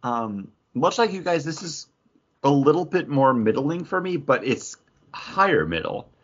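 A man's voice: a little high, sing-song, measured pace, lo-fi sound. A man co-hosting a podcast, discussing films in a casual, conversational and somewhat hedging way. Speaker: podcast co-host.

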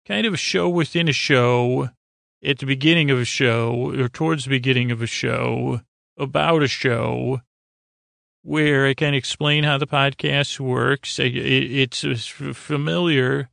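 Creaky-voiced man rambling through a bedtime monologue. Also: medium pitch, steady tone, measured pace, fairly bright tone, no room sound, clean studio sound. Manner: stammering, repeats and trails off mid-thought. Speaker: host of a bedtime sleep podcast.

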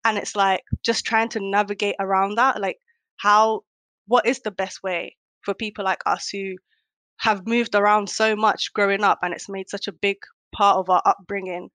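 A woman's voice: medium pitch, level tone, normal speed, bright, forceful tone, dry recording. A young woman born in Kenya, speaking reflectively in a casual conversation, with lots of "um"s. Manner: reflective, many fillers.

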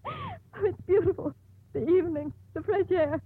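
An actress playing a young condemned woman, anguished and deeply in love, in a 1950s radio melodrama. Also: huge pitch swings, moderate pace, a little hiss or hum, heavily acted. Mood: desperate. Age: young adult. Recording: muffled old 1950s radio broadcast.

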